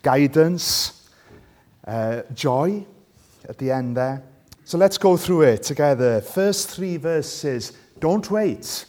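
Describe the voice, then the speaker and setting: A man with a Welsh accent, a native Welsh speaker, delivers a sermon at an unhurried pace. His voice sits a little high, and he talks to his listeners conversationally, often inviting agreement. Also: expressive, a little mellow. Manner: conversational, asks listeners rhetorical tag questions. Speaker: preacher. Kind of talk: sermon with scripture reading.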